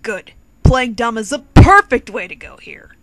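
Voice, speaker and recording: A woman narrates a comic parody with theatrical, playful sarcasm. Her voice sits fairly high and swings widely in pitch. A quirky instrumental track plays clearly behind her. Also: very animated, unhurried, no room sound. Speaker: fan fiction reader. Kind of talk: dramatic reading of a comic parody, second-person narration. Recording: quirky background music under the voice. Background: quirky instrumental music.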